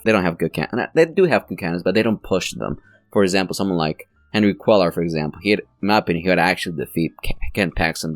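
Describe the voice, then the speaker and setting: A man's voice: deep voice, no room sound. A man hosting a casual election prediction channel, rambling and correcting himself as he talks, his voice a little strained and sore. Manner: rambling, self-correcting, with frequent fillers. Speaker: political channel host.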